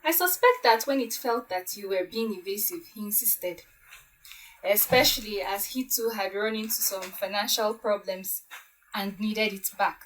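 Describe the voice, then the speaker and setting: A woman playing a shrewd, assured woman who deliberately lays out a case in a story drama. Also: medium pitch, fairly bright tone, recorded from a distance, a little hiss or hum, natural voice, poor audio. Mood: assured, accusing. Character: shrewd woman calmly exposing a plot.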